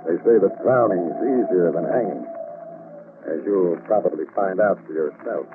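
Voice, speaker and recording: Man as grim sea captain, commanding, determined, 1940s radio play, phone-thin sound, clear background noise. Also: sits low, normal speed, phone-quality sound, natural voice. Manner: forceful, commanding. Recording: thin, noisy vintage radio recording.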